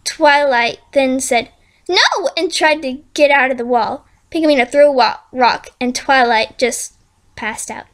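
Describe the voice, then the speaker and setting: A woman's voice: high-pitched, steady tone, a little slow. A female amateur reader narrating a fan-made story in storybook style.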